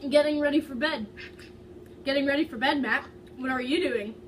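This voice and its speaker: A woman's voice: high voice, moderate pace, lightly acted. A woman voicing a flirtatious, teasing male singer in an amateur story reading. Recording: distant mic with loud background noise.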